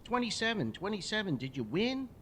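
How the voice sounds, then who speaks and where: A man voicing a curious, lonely little boy who asks eager questions in a raised, childlike pitch. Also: sing-song, brisk, fairly bright tone, slight background noise.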